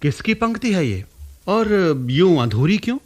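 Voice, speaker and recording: An actor playing an elderly, learned poet-guru travelling in disguise, speaking in a theatrical, courtly, deliberate way in a classical radio play. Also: male voice, high voice, big pitch swings, heavily acted. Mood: intrigued. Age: older.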